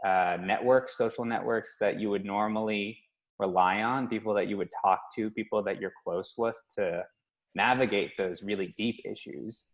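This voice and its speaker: A man thoughtfully telling about his experiences abroad over a video call, measured and reflective. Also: lowish voice, fairly even intonation, rough recording. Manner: thoughtful, measured, some restarts. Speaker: workshop participant. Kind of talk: reflective personal story.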